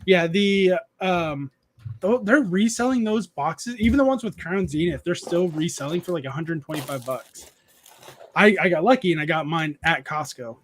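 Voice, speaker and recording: A man in his thirties hosts a relaxed evening stream with friends, talking in a noticeably high voice. He rambles, restarts his sentences and stops to read viewers' messages aloud. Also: normal speed, slight background noise. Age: 30s. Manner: rambling, restarts often, reads chat aloud. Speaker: streamer host. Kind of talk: casual live-stream chat.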